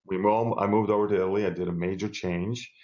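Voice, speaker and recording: A man's voice: fairly low pitch, fairly even intonation, fast, mellow, dull audio. A middle-aged man from South America, chatting as a guest on a video-call interview, enthusiastic and rambling with restarts, from a home room with bookshelves. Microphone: earbud headset on a video call.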